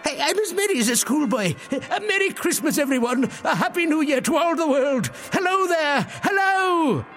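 A man's voice: very high voice, expressive, moderate pace, a bit bright, faint music behind, pitched above the performer's own voice. A man voicing an elderly former miser who is now giddy and jovial, stammering and breathless.

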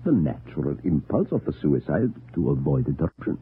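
A man's voice: very deep voice, very animated, brisk, breathy edge, heavily acted. An actor playing a cold, calculating male usurper in an old radio drama, speaking with precise menace. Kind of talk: 1940s radio acting. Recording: tinny old radio broadcast with hiss.

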